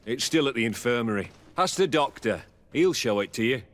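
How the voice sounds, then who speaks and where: A man's voice: medium pitch, expressive, a little fast, fairly bright tone, close to an everyday voice. A man acting a blunt, unsentimental military captain in a video game, brusque and matter-of-fact.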